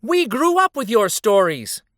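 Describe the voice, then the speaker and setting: Man acting excited townsperson, high and loud. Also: measured pace, no echo, studio quality, heavily acted. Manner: loud, exuberant, exclaiming. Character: excited grown-up townspeople calling out as fans. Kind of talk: picture book read aloud.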